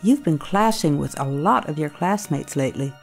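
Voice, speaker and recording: A low-pitched female school staff member, played by an actress, speaks in a measured, coaxing way. She is concerned and probing, in an audio drama with music underneath. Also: lively intonation, vocal fry, slightly rough, exaggerated voice. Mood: cautious, concerned.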